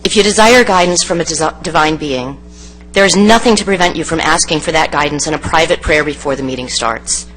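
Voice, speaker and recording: A woman's voice: deep voice, fairly bright tone, a little hiss or hum, poor audio. Woman reading a formal public statement. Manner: measured, articulate, firm. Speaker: advocacy group leader giving public comment. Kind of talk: prepared formal speech read aloud. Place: council meeting chamber.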